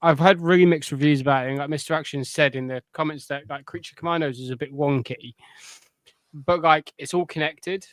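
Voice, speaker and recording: A man with a smooth, clear voice, pitched a bit high. He chats casually as a podcast co-host, recorded dry and close. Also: a little fast, dry recording. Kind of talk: casual pop culture podcast chat.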